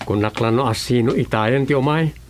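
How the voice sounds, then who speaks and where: A man's voice: mid-range voice, a little fast, over music, close to an everyday voice. A male actor with an Ilocano Filipino accent playing a chatty, nosy local councilor in a comic radio drama. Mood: chatty, bantering.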